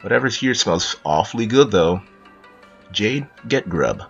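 A man's voice: fairly low pitch, expressive, unhurried, creaky, soft background music. A man playing a playful, doting narrator who tells a cartoon storybook to a small child, in slightly dull sound. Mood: gentle, playful. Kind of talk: second-person storybook narration.

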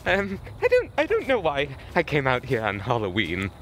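A man's voice: medium pitch, wildly swooping pitch, moderate pace, fairly bright tone, slight background noise. A man vlogging outdoors in the woods at night on a handheld camera, stammering nervously with repeated words and voice cracks. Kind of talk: mock-scared comedic night vlog.

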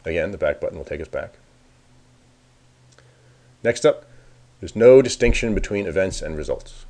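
A man's voice: low-pitched, lively intonation, moderate pace, a little mellow. A man narrating a casual screencast tutorial as the presenter, informally thinking aloud as he works. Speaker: software presenter giving a demo.

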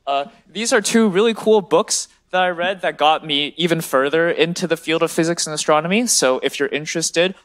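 Enthusiastic young man lecturing, higher-pitched voice. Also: a bit bright, rough recording. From China and the US. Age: 20s. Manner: enthusiastic, checks in with "right?". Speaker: graduate student speaker. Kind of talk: informal public science lecture. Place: large lecture hall.

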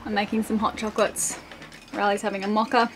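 A woman's voice: mid-range voice, little pitch movement, a little fast, a little hiss or hum, poor audio. A woman, casually chatting and narrating a vlog. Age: 20s. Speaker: vlogger, host. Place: on a sailboat and at the beach. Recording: music behind the voice.

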